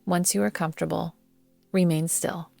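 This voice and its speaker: A woman guiding a yoga nidra meditation in a soothing, measured manner, leaving long pauses between phrases. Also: lowish voice, steady tone, gravelly, darkish tone, no room sound. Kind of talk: guided meditation narration. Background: background music.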